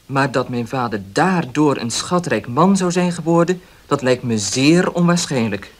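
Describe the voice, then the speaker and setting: An actor playing a guarded young baker in a 1970s radio drama, speaking plainly and carefully. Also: male voice, medium pitch, lively intonation, a little slow.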